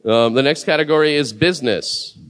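A man's voice: on the high side, brisk, a bit bright. A man hosting a live awards show from a mic in a big room, offhand, joking and swearing between nominees.

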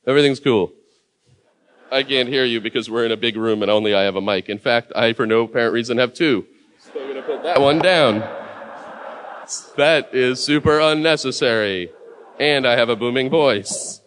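A man's voice: mid-range voice, moderate pace, long pauses, fairly bright tone, a little hiss or hum. A man hosting a live awards show from a mic in a big room, offhand, joking and swearing between nominees.

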